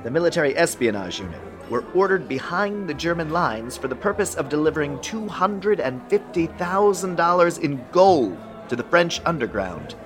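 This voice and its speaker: An actor playing a measured, secretive government intelligence official in a radio drama, speaking in a dry, deliberate way. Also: male voice, a little high, big pitch swings, over music. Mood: explanatory.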